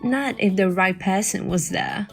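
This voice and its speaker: A woman's voice: medium pitch, unhurried, vocal fry, soft background music. A woman playing a playful, teasing young woman in a romantic summer audio drama. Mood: soft, playful.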